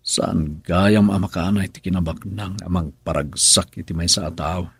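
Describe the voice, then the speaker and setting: Husky, gravelly Ilocano man, brooding. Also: low-pitched, fairly even intonation, normal speed, no room sound, heavily acted. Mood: brooding, wistful. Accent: Filipino, Ilocano. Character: brooding, regretful husband who drinks, troubled by his wife. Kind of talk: Ilocano radio drama acting with inner monologue.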